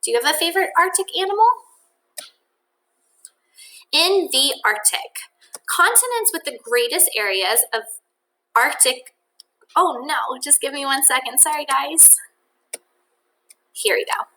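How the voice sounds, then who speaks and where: A woman teacher reading a children's nonfiction book aloud in an expressive, deliberate way, with think-aloud asides addressed to children. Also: sits high.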